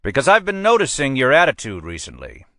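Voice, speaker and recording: A man reads a blustering, pushy police captain who barks his orders in a raised, lively voice that swoops up and down. The sound is close and dry, with no room around it. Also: medium pitch, a little fast, dry recording, voice pushed up, full character voice. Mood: commanding. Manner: brusque, pushy, emphatic. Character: blustering middle-aged male police captain.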